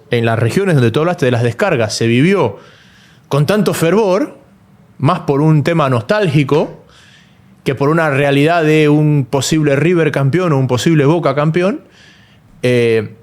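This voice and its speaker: A man from Ecuador co-hosting a casual football talk show, thinking aloud with frequent restarts. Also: on the high side. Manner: thoughtful, hesitant restarts. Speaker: co-host.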